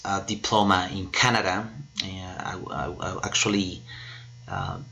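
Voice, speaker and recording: A man's voice: low-pitched, bright, forceful tone. A man in his thirties from Colombia, a native Spanish speaker with a Colombian accent, presenting himself as an English teacher in a hesitant, explanatory way. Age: 30s. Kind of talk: self-introduction presentation to camera.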